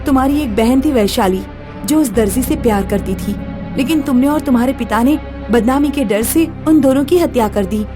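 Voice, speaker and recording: High dramatic young wife, played by a woman. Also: moderate pace, over music, close to an everyday voice. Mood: indignant. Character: earnest, frightened but resolute young village wife. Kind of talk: animated horror story dialogue.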